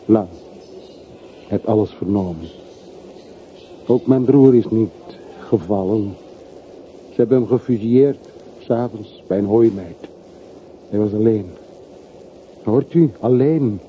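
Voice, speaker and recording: An actor playing a desolate, curt traveller in a radio play, talking in short clipped sentences. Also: male voice, fairly low pitch, slow, long pauses. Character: desolate, curt man parting at a railway station. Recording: muffled, noisy old radio recording.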